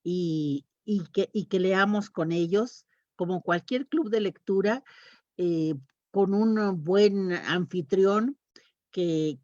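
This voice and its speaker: A woman's voice: medium pitch, a little mellow, no room sound. A woman giving informal panel talk, thinking aloud in a tentative way. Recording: dull lo-fi video call audio.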